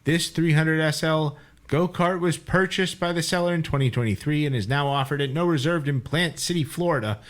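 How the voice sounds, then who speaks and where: A man's voice: on the high side, a little fast. A man co-hosting a casual car podcast, reading an auction listing aloud in a mock radio announcer style and tripping over words. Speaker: podcast co-host.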